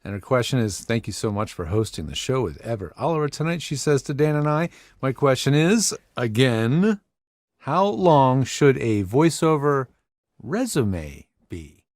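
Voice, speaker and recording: A man co-hosting an interview show in a relaxed manner, reading listener questions aloud. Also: medium pitch, normal speed, no echo, clean studio sound. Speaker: podcast co-host. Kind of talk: casual livestream interview.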